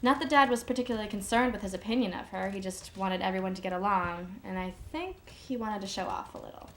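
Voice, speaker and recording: Actress as wary adult daughter, police interview drama, measured account, background typing noise. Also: female voice, mid-range voice, a bit bright, natural voice. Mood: guarded. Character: wary adult daughter recounting a family fight. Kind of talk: police interview monologue, film acting.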